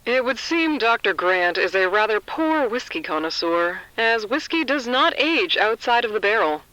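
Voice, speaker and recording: A woman's voice: fairly high pitch, very animated, moderate pace, bright, forceful tone, dry recording, rough recording. A woman playing a dry, pedantic research doctor with formal sarcasm in a scripted audio drama.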